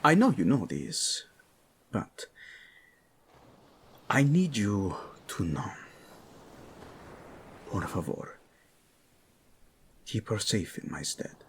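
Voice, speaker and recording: An actor playing a devoted, passionate prince and husband in an intimate audio roleplay, with a breathy, husky, murmuring delivery. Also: male voice, on the low side, wildly swooping pitch, extremely slow, long pauses, slight background noise, full character voice. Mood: earnest, commanding.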